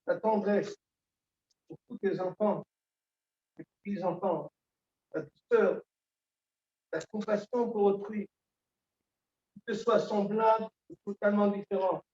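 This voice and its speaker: A man in middle age delivers a eulogy, his high, soft-toned voice faltering with grief and long pauses. He is captured from well back in an echoing hall, and the recording sounds dull and muffled. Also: steady tone. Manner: halting, with repeated words and long pauses. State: grieving, emotionally moved. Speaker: son giving a eulogy. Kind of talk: funeral eulogy. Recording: echoey hall, distant dull mic.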